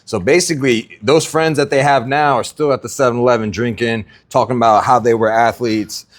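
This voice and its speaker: A man's voice: mid-range voice, fairly even intonation, a little fast. A man co-hosting a podcast, musing in casual chat and asking questions. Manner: conversational, asks questions, musing. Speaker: podcast co-host.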